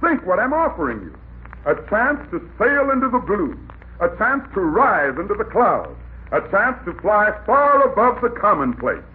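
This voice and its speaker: A pleading, persistent salesman in a vintage radio comedy. His fairly high voice swoops dramatically through florid, theatrical patter. The recording is thin and boxy, like an old broadcast. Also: wildly swooping pitch, moderate pace, phone-quality sound. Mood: rapturous pleading. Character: flowery, pleading male magazine salesman, gushingly persistent. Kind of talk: 1950s radio comedy acting.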